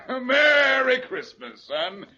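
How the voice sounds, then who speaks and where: A man's voice: extremely high pitch, creaky, bright, forceful tone, exaggerated voice. An actor playing a jaunty, unruffled, wisecracking male adventurer hero in 1940s radio detective drama style. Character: wisecracking, cool adventurer hero, sometimes posing as jolly Santa. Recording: noisy, muffled old radio recording.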